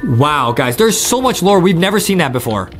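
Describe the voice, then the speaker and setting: A man's voice: fairly high pitch, very animated, normal speed, a bit bright, soft background music. A young American man hosting gameplay commentary in a hyped, exclamatory, slangy way. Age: young adult. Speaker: gaming YouTube host.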